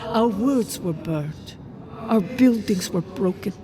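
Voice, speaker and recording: An actor voicing a solemn ancient spirit guardian of a fallen people in a fantasy game, speaking formally and gravely. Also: medium pitch, lively intonation, noisy background. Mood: mournful, grave. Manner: slow, grave, formal, archaic phrasing. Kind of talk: dramatic fantasy video game acting. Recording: game music under the voice.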